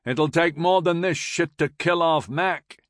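A man's voice: mid-range voice, huge pitch swings, moderate pace, sharp tone, no echo, studio quality, pitched above the performer's own voice, heavily acted. A man voicing an authoritative special forces major in an audiobook, polite but firm and commanding. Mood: dry banter. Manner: commanding, polite, curt when crossed.

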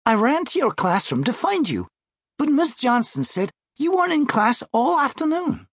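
A man's voice: very high-pitched, sing-song, normal speed, a bit bright, husky, dry recording, studio quality, voice pushed up, heavily acted. A man voicing an exasperated, worried young schoolgirl in an audiobook, urgent and questioning. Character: exasperated, worried sixth-grade little sister. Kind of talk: audiobook character voice.

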